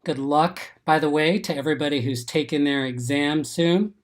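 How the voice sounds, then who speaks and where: A man's voice: fairly high pitch, normal speed. A man recovering from an illness, sounding a little congested, hosting a live exam-prep webinar and reading questions and answers from the chat in an upbeat, encouraging way. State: congested, getting over an illness.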